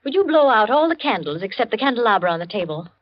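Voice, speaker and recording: An actress playing an imperious, steely society hostess in a vintage radio thriller, giving deliberate, clipped orders. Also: female voice, on the high side, sing-song. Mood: controlled command. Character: imperious, steely society hostess demanding her stolen ring. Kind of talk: 1940s radio thriller acting. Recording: dull vintage radio recording.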